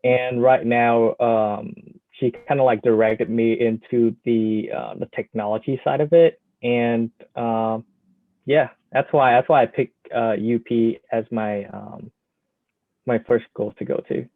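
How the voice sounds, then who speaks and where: A young man from Vietnam, a student introducing himself hesitantly with many pauses and fillers on a video call. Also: medium pitch, fairly even intonation, no room sound. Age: young adult. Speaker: student scholarship recipient. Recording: dry, tinny phone-like audio.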